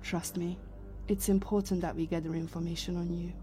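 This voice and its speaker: Sci-fi audio drama: actress as female time-traveler leader, mellow, measured, in command, light music bed. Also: lowish voice, level tone, natural voice. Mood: grave, explaining. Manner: measured, authoritative, explanatory. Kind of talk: science fiction audio drama acting.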